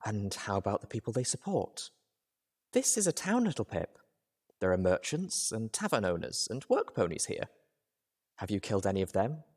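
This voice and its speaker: Man as a poised, quiet female pony. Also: lowish voice, very animated. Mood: cool, reproving. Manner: measured, composed, reproving. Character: poised, cool-headed adult female pony, principled healer.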